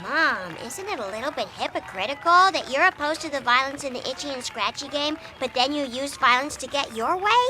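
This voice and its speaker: A woman voicing a precocious, preachy little American girl in an animated comedy game. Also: high-pitched, fairly quick, few pauses. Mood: reproachful.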